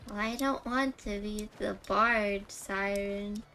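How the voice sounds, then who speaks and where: Female game streamer, casual and jokey, musing out loud at a slow pace, light music in the background. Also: mid-range voice, fairly even intonation. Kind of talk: casual live game commentary.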